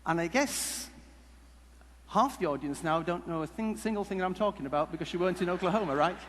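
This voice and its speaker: A man with a high voice presents on stage in a jokey, halting style. He wanders through humorous stories as a conference speaker. The hall adds long reverb, with some noise behind him. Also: a bit bright. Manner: jokey, halting, rambling delivery. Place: large conference hall before an audience. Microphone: headset mic. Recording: echoey hall PA with background noise.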